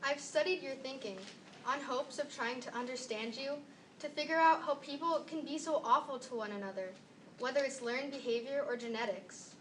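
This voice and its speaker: Young girl doing readers theater, high voice, mic far off. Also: moderate pace. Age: about 12 to 13, seventh grader. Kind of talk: readers theater recital of a scripted monologue. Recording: distant mic, faint noise.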